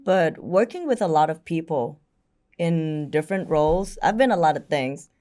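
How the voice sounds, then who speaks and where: A woman's voice: low-pitched, lively intonation, moderate pace, mellow. A woman in her twenties with a Thai accent, answering casually as an interview guest, candid and playful. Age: 20s.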